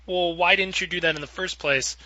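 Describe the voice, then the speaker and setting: A man hosts a casual discussion show. His voice is very bright and slightly high, and he talks fast. He rambles amiably through questions for the group. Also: steady tone, dry recording, highs cut off. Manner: rambling, chatty, acts out imagined quotes. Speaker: podcast host. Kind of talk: casual panel discussion, asking guests questions.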